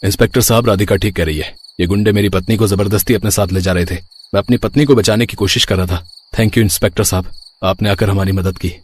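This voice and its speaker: An earnest young husband in a cartoon family drama, played by a man. His voice is on the low side, firm and dutiful. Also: fairly even intonation, fast talker, a little hiss or hum, natural voice. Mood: resolute.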